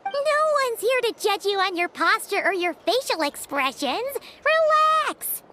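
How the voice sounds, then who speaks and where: A woman's voice: very high voice, very animated, a little slow, background noise, exaggerated voice. A woman voicing a small, cheeky, blunt fairy-like girl companion in a video game, exclaiming and talking about herself in the third person. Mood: teasing, reassuring. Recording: loud music under the voice.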